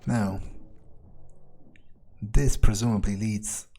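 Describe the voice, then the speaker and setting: A man's voice: fairly low pitch, fairly even intonation, takes it slowly, dark tone. A man role-playing a callous, laid-back vampire vigilante in a video game, muttering his thoughts offhandedly. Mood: musing. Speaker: let's player role-playing in character. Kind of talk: in-character gameplay commentary, thinking aloud. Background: game music.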